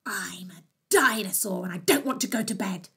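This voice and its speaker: A woman voicing a naughty young boy dinosaur in a children's story, chanting loud, defiant taunts. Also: medium pitch, moderate pace, breathy edge. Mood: defiant, taunting.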